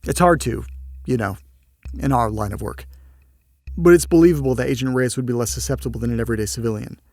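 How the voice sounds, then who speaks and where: An actor playing a polite field agent who reports on cases in a measured, slightly tentative way in an audio drama. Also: male voice, medium pitch, a little mellow, no room sound, studio quality, lightly acted. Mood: thoughtful, tentative.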